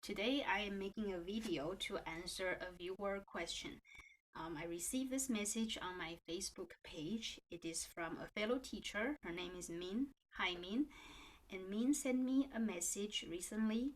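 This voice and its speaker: A woman's voice: mid-range voice, normal speed, poor audio. A middle-aged woman who teaches piano talks casually to camera, answering a viewer's question. She explains things in a friendly way and uses a lot of fillers. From born in Taiwan, grew up in New Zealand.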